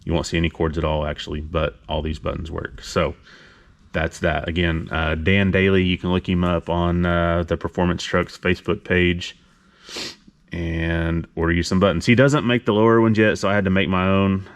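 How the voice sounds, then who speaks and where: A man's voice: low voice, flat delivery, normal speed. A laid-back, plain-spoken man talking casually as he shows truck parts for a workshop video update. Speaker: car builder hosting a shop update. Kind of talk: casual vlog talk while showing truck parts. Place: indoor vehicle workshop garage.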